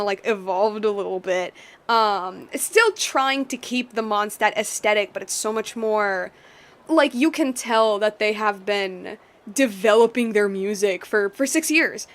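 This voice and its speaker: A woman giving casual, playful commentary as she plays through a game, rambling and excitable. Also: on the high side. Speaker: gaming streamer. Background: game music.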